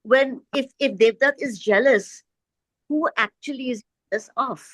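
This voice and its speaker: A woman's voice: high-pitched, measured pace, a bit bright, dry recording. A woman offering reflective remarks in a discussion, speaking politely and tentatively, as if thinking a question through. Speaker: book club participant. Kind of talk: thoughtful discussion commentary.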